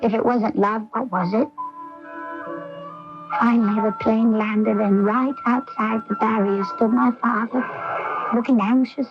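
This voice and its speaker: An actress as an intense, vulnerable young woman, fairly high-pitched and unhurried, earnest and lovelorn. It is 1980s radio drama on a dull, lo-fi broadcast recording with some music behind. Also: steady tone, natural voice. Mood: wistful, narrating. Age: young adult. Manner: slow, pleading, earnest.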